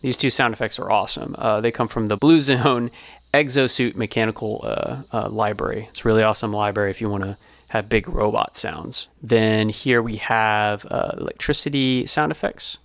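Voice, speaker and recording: A man presenting an informal behind-the-scenes explainer as a sound designer, speaking in a casual, halting way. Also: on the low side, steady tone, vocal fry, fairly bright tone.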